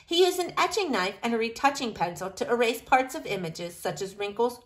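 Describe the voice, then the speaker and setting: A woman reading a picture book aloud in an expressive, deliberate storytelling style. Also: a little high. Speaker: reader of a children's picture book.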